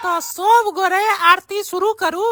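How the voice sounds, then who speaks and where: Woman doing a very high-pitched cheeky cartoon disciple, Bihari accent. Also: fairly even intonation, slow, heavy background noise. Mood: ceremonial. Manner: exclamatory, sly, fawning showmanship. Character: cheeky, fast-talking male disciple and promoter of a guru. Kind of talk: comic cartoon dialogue. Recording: loud music bed under the voice.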